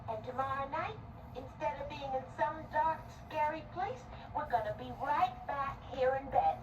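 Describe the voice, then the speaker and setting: A woman's voice: sits high, normal speed, lightly acted. A woman voicing a brave, reassuring young boy in a fairy tale, earnest and soothing. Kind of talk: theatrical fairy-tale storytelling. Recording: echoey, distant voice with music behind.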